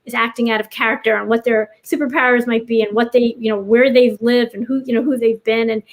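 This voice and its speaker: A woman with a somewhat high voice talks as a writer being interviewed. She is earnest and conversational, rambling a little as she thinks out loud. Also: steady tone, quick pace. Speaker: writer, podcast guest. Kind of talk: casual interview chat.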